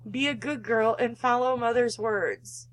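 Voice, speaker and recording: A woman's voice: a little high, measured pace, close to an everyday voice. A woman voicing a cold, belittling, controlling mother in a visual novel, with a condescending, guilt-tripping manner. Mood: stern.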